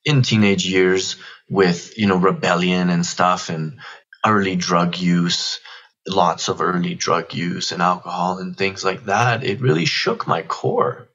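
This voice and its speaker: A man in his forties talks as a podcast guest in a deep voice. He is earnest and halting, telling his story reflectively. The audio is a little dull and lacks top end. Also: fairly bright tone. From Toronto, Canada. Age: 40s. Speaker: podcast guest, activist spokesperson.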